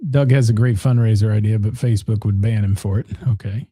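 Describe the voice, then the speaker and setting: Hoarse man on radio, rambling jokey chat, dark, dry close sound. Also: fairly low pitch, steady tone, fast talker, dry recording. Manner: joking, rambling, with restarts. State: losing his voice. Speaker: radio show co-host. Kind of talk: casual on-air chat, reading listener comments.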